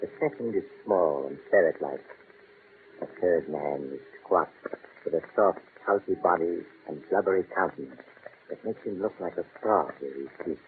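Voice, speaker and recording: Man doing a sinister horror host, very deep voice, insinuating storyteller, tinny old radio with hiss. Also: normal speed, close to an everyday voice. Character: sinister male horror host, smooth and mocking. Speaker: narrator. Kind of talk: 1940s radio acting.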